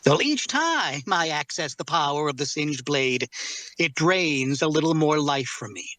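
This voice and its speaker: A man playing a formal, guarded cursed warrior in an audio drama table read, speaking courteously in measured, deliberate phrases. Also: fairly high pitch, expressive, bright, forceful tone, no echo. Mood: grave, explanatory.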